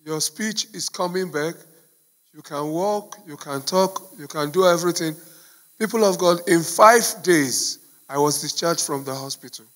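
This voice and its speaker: A man's voice: on the high side, measured pace, a bit bright. A middle-aged man telling his testimony earnestly into a handheld mic.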